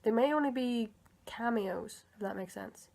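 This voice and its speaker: A woman's voice: on the high side, normal speed, gravelly, a little mellow. A young woman giving casual, unscripted commentary in a tentative, musing way, as if thinking aloud. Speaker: vlogger sharing opinions on film characters.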